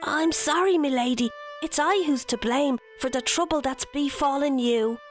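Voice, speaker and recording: A woman's voice: high-pitched, moderate pace, soft background music, voice pushed up, close to an everyday voice. Woman voicing an earnest, remorseful young man.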